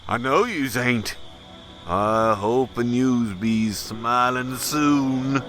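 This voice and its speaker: A man's voice: mid-range voice, a bit bright, soft background music. An actor playing a cruel, menacing racist predator in an audio drama, drawling and mocking as he threatens. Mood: sadistic. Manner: taunting, drawling, slow menace. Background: crickets and night insects.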